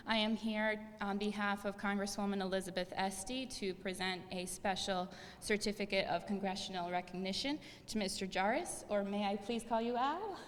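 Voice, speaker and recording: A woman's voice: moderate pace, few pauses. Female aide, polite podium remarks, hall reverb. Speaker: congressional aide. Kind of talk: ceremony speech. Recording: hall reverb, faint rumble.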